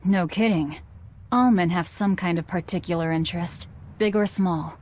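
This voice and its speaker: A woman's voice: on the low side, normal speed, a little mellow, a little hiss or hum, lightly acted. A woman voicing a cool, sardonic young woman in an English anime game dub, dry and blunt in delivery.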